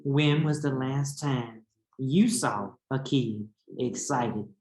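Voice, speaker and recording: A man's voice: mid-range voice, slow, darkish tone. A man voicing a proud but slighted beige crayon in a storybook, sulky and drawn out. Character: proud, slighted male beige crayon, weary of second place. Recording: roomy echo with background noise.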